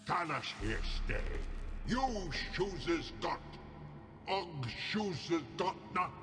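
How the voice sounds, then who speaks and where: A big dim-witted troll. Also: male voice, sits high, animated, slow, big-room sound, over music, heavily acted. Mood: stubborn. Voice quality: broken grammar, talks of himself by name.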